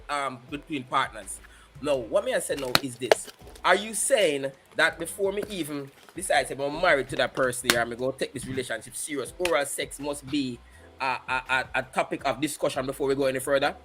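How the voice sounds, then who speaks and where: A man's voice: mid-range voice, fairly quick, background noise. A Jamaican man on a panel call who interrupts and challenges the others emphatically. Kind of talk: heated panel debate.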